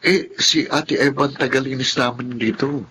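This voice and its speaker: A man playing a gentle, inquisitive young older brother in a Filipino radio drama, with a Filipino accent and a questioning manner. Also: medium pitch, steady tone, moderate pace, a little hiss or hum, lightly acted. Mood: curious, friendly.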